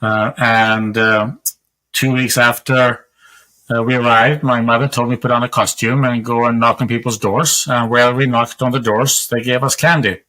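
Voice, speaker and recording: A Swedish-accented man in his sixties answers questions over a webcam, explaining things in a measured, steady way. His voice has a bright, forward edge to it. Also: medium pitch, rough recording. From Sweden. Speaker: guest, epidemiologist. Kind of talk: interview answers over video call. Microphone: webcam on video call.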